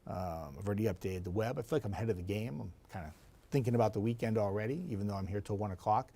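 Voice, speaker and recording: A man with a raspy voice, a TV meteorologist chatting casually and rambling to viewers. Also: on the low side, racing, dark tone. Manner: chatty, rambling, laughs easily.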